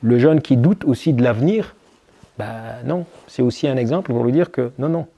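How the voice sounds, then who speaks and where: A man's voice: mid-range voice, normal speed, vocal fry, warm tone, muffled recording. A man as an interview guest, answering reflectively with many hesitations and restarts. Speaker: guest, army officer.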